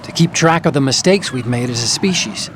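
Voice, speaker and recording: An actor playing a calm, articulate male idealist who explains things earnestly, in a video game. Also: medium pitch, fairly quick, background noise, natural voice. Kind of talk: dramatic video game acting.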